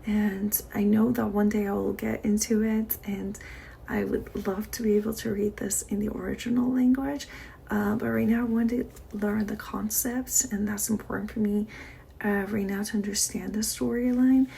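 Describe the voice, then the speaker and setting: Soft-spoken woman with vocal fry. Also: mid-range voice, fairly even intonation, moderate pace, slight background noise. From Iran. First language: Persian. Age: 40s. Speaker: vlogger. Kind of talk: casual diary chat to camera.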